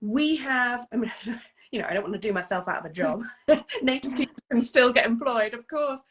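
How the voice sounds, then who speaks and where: A woman hosting a live video interview, enthusiastic and talkative, with quick asides and acted-out quotes. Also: on the high side, lively intonation. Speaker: host, English teacher. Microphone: video call.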